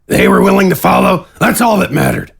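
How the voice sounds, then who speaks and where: A man's voice: high-pitched, expressive, fairly quick, creaky, husky, voice pushed up, heavily acted. A man voicing a terse, pragmatic warrior gang leader in a fantasy audio drama, blunt and unapologetic. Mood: blunt, defiant.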